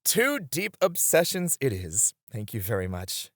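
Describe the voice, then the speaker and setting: A man's voice: mid-range voice, huge pitch swings, a little slow, a bit bright, no echo, clean studio sound. A man playing a friendly, easily flustered bartender and playful flirt in an ASMR roleplay, prone to stammering. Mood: flustered, eager.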